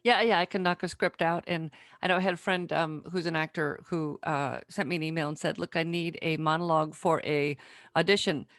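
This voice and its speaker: A woman host chatting casually and giving practical advice in a halting, conversational way. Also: deep voice, steady tone, a bit bright, no echo, clean studio sound. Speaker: interview host, writer.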